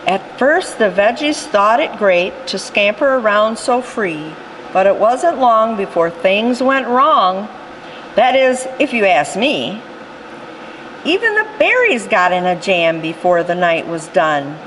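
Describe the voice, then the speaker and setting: A woman reading a rhyming picture book aloud in an expressive storytelling style. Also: medium pitch, takes it slowly, slight background noise, poor audio. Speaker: storytime reader.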